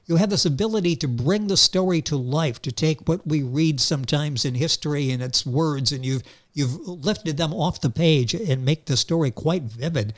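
Higher-voiced male radio host. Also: moderate pace, few pauses. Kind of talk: radio interview.

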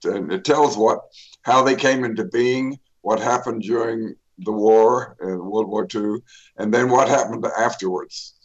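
An older man, an author, telling his life story in a radio interview, pausing often with fillers and restarts. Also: fairly low pitch, highs cut off. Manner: rambling, many fillers and restarts. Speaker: author guest.

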